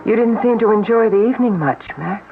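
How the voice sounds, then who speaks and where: A woman's voice: medium pitch, fairly quick, over music, natural voice. A woman playing an anxious, pleading wife. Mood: worried. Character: worried, sensible wife. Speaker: radio drama actor. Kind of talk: 1970s radio drama acting. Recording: tinny old radio broadcast.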